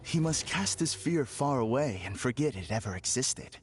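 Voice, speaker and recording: An actor playing an unsettlingly calm, formal villain in a game cutscene, speaking in a measured, courteous way. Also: male voice, medium pitch, slight background noise, natural voice. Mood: serenely polite. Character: eerily serene polite man, unsettling villain. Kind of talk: video game cutscene dub.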